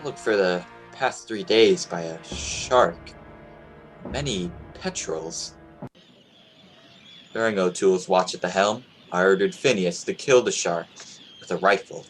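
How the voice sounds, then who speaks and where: An actor playing an earnest, confident young sea captain in an old-time radio play. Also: male voice, deep voice, measured pace, soft background music, rough recording. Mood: solemn narration. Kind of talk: old-time radio play acting, narrating his log.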